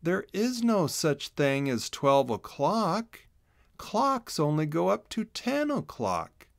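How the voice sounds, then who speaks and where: Man playing young hedgehog boy, curious adventurer, raised pitch, animated, emphatic, children's storybook, dry recording. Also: takes it slowly, vocal fry, dry recording, heavily acted. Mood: solemn, insistent. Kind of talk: children's storybook read-aloud.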